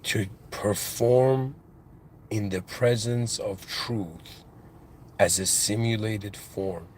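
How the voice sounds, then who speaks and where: A man with an accent in English, giving a reflective spiritual monologue as a channel host, halting and musing, with restarts and devout phrases. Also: fairly low pitch, fairly even intonation, darkish tone, a little hiss or hum. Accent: non-native English.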